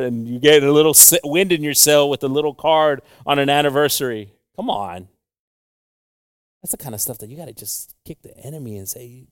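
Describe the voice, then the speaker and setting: A man's voice: mid-range voice, dry recording, clean studio sound. A Mexican American man preaching a sermon to his congregation, moving between English and Spanish and joking along the way. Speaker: pastor. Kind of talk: bilingual sermon.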